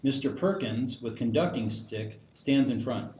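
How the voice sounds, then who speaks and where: A man's voice: mid-range voice, unhurried, darkish tone. A man narrating stage directions at a screenplay table read. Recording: echoey room, muffled, faint noise.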